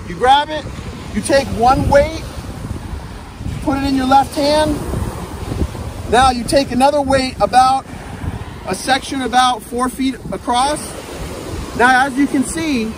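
A man in his thirties hosting a fishing channel, upbeat, demonstrating a cast net outdoors on a sandy beach and calling the viewers "guys". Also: very high voice. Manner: upbeat, repeats "guys" and "okay", talks while handling the net. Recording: outdoor beach noise behind the voice.

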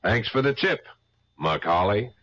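An actor playing an earnest, easygoing young investigator in a 1970s radio drama, businesslike and understated. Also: male voice, lowish voice, animated, unhurried, loud music behind the voice. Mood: urgent, businesslike. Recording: dull, faintly noisy vintage radio.

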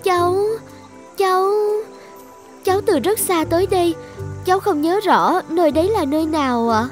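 A woman voicing a gentle, timid young maiden in an animated folk tale. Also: very high voice, normal speed, soft background music. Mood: timid, pleading.

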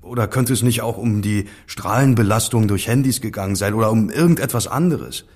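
Husky, sceptical male investigator. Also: fairly low pitch, a little fast, a little mellow. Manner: questioning, direct, slightly flippant. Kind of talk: German audio drama dialogue acting.

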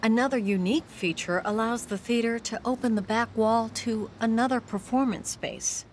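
A woman giving a measured, scripted documentary narration. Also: fairly high pitch, a little hiss or hum. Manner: smooth, measured.

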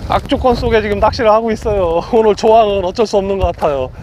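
A man with a high, lively voice talks through his fishing on the open deck of a boat, exclaiming at every bite. Strong wind and waves buffet the camera mic throughout. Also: fast talker. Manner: excited exclamations, chatty play-by-play with laughs. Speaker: fishing vlogger. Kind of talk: casual live commentary while fishing. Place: deck of a boat at sea, outdoors, windy.

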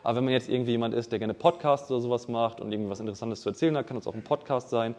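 Guy doing a keynote, rattles off funnel stuff super fast, lots of english jargon, big hall echo. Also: medium pitch, darkish tone. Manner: fast, punchy business jargon mixed with English terms. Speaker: keynote speaker. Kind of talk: live conference talk on marketing funnels. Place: event hall with a live audience. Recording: long hall reverb.

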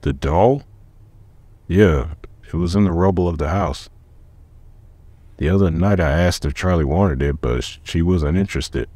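A man voicing a watchful, world-weary middle-aged man in a comic dub, terse, measured and dryly wry. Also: deep voice, dark tone, husky, no echo. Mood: dry, reassuring. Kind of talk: dramatic dialogue. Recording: music behind the voice.

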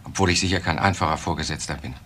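An actor playing a desperate, controlling professor in a crime radio play, speaking in a deliberate, halting, insistent way. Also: male voice, deep voice, steady tone, a bit bright, slight background noise. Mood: weary, reserved. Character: desperate, controlling professor who confesses to killing his wife.

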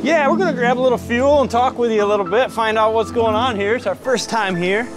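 A man's voice: very high voice, fast talker, background noise. A man vlogging while sailing outdoors, cheerfully narrating each task and encouraging his partner. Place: outdoors on a sailboat.

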